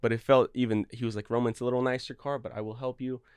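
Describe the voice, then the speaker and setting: A man's voice: medium pitch, little pitch movement, rapid-fire, darkish tone, smooth, dry recording, clean studio sound. A young man from Ukraine, a guest in a casual interview, talking earnestly and rambling through restarts. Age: young adult. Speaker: guest, real estate broker.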